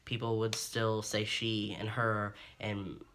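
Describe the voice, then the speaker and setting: A man in his twenties from Ohio speaks in a somewhat low voice. He talks candidly to the camera, earnest and open, and keeps pausing on ums and restarting his sentences. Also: level tone, fairly bright tone, a little hiss or hum. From Ohio, USA. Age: 20s. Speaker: vlogger. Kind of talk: candid personal monologue to camera.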